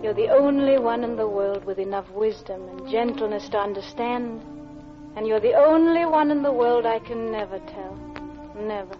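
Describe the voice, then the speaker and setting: Actress as wistful English wife, high, intimate voice. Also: female voice, normal speed, music in the background, lightly acted. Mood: tender, anguished. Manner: intimate, wistful, hushed confession. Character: guilt-laden, tender English wife confiding silently to her husband. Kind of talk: 1940s radio drama interior monologue. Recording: dull vintage radio broadcast recording.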